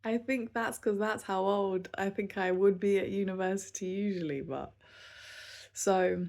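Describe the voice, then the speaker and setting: A woman in her thirties recording a casual vlog, talking unscripted and candidly, with many pauses, fillers and restarts. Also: medium pitch, fairly even intonation, darkish tone. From southern England. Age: 30s. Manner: candid and self-reflective. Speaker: vlogger.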